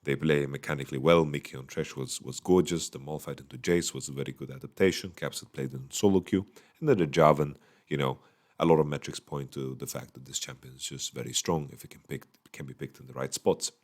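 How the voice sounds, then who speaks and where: A man with a slightly hoarse voice, casually giving a solo esports recap from a small hotel room into a microphone, thinking aloud with many fillers. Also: very deep voice, steady tone, brisk, darkish tone. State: voice going a bit hoarse. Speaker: esports analyst. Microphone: studio microphone.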